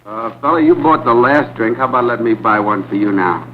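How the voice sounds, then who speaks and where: A man's voice: mid-range voice, animated, brisk. An American man in casual chat, secretly recorded on a concealed microphone in the 1940s. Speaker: hidden-microphone prankster. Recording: tinny, noisy 1940s hidden-microphone recording.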